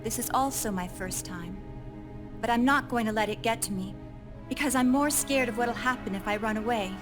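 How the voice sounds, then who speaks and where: A woman's voice: fairly high pitch, moderate pace, music in the background, lightly acted. A woman playing an earnest, determined ship commander rallying her crew in a video game drama. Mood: resolute, earnest. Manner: earnest, determined, speech-making.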